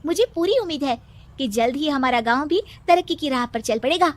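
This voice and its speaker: A woman's voice: high-pitched, animated, brisk. A woman voicing an earnest, hopeful young village girl student in a cartoon storybook.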